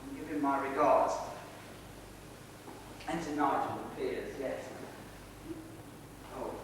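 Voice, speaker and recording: An actor playing an embittered middle-aged theatrical husband, sardonic and menacing, in a deliberate stage style. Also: male voice, little pitch movement, lightly acted. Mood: mock polite. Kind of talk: theatrical stage drama acting. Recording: echoey hall, distant mic, faint rumbling noise.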